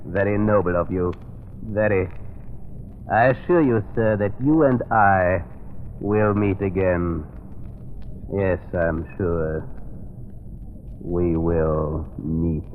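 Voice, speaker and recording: A man playing a sinister, confident hypnotist doctor in old radio drama style, speaking with slow, suave menace. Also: low-pitched. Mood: smug menace. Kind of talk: 1940s radio drama acting. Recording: muffled, noisy old radio recording.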